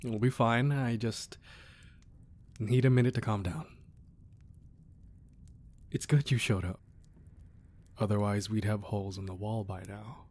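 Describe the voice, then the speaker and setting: A man playing a weary, menacing crime boss with a tender side, in a controlled, deliberate ASMR roleplay delivery. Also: lowish voice, steady tone, darkish tone. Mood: tired, frustrated. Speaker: audio roleplay voice actor. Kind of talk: ASMR roleplay acting, close and dramatic.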